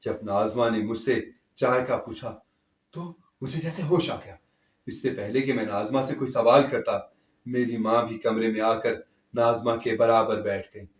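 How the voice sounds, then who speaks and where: A man's voice: mid-range voice, measured pace, highs cut off. A man narrating a scripted first-person story in an emotive, theatrical storytelling manner. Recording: echoey, distant-sounding voice.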